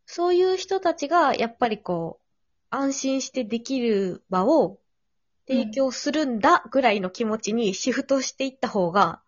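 A woman's voice: a little high, expressive, moderate pace, no room sound. A woman hosting a casual conversation, offering advice in polite, tentative, reflective phrasing. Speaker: podcast host. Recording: slightly dull lo-fi audio.

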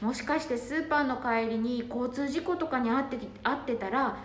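A woman's voice: high-pitched, fairly quick, natural voice. A woman playing a polite, anxious daughter-in-law in an amateur radio drama. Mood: worried. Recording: echoey room, faint noise.

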